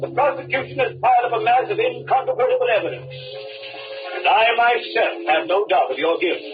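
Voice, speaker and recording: An actor playing a stern, solemn judge with formal, ponderous declamation in an old radio drama. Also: male voice, very high voice, fairly quick, far from the mic, phone-quality sound, over music. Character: stern, solemn male judge pronouncing sentence. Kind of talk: 1930s radio drama acting.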